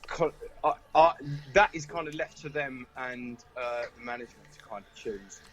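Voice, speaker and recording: Hesitant man, medium pitch. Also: moderate pace, fairly bright tone, rough recording. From South of England. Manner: hesitant, self-deprecating. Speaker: band member and songwriter being interviewed. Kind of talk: casual chat.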